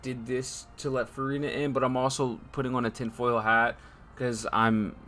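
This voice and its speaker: A man's voice: mid-range voice, flat delivery, normal speed, sharp tone, slight background noise. A young man giving casual, slangy, hyped reaction commentary as a streamer over a game. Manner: hype, slangy interjections.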